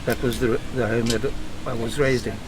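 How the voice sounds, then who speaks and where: A man's voice: medium pitch, fairly even intonation. Earnest South African man, noisy room. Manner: measured, emphatic, speaks in short firm statements. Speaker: podcast guest. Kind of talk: earnest interview answers. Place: home.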